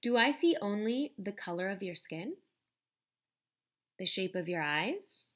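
A young adult woman, a teacher, reading a children's picture book aloud in a deliberate, expressive way, speaking directly to young listeners. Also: mid-range voice.

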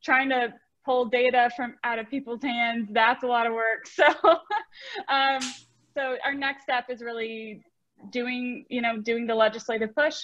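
A young woman gives an informal answer on a panel. Her voice is on the high side. The video-call sound is somewhat muffled and lo-fi. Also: level tone, a little slow, a bit bright. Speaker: panelist, law graduate. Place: room at home, on a video call. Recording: dull, lo-fi video-call audio.

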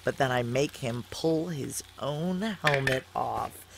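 An actress playing a tough woman soldier fused with alien moss, narrating an audio drama slowly, deliberately and with intense awe. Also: rumbling low, gravelly, a little hiss or hum, exaggerated voice, low-quality recording. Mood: cold resolve. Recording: loud sizzling sound effects behind voice.

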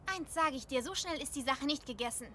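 A woman's voice: high voice, lively intonation, a little fast. A woman playing an indignant, teasing teenage schoolgirl with a sarcastic, scolding manner in an anime audio drama.

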